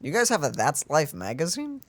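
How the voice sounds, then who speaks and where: A man's voice: a little high, huge pitch swings, brisk, no room sound. A man streaming a game with casual, wry, playful reaction commentary.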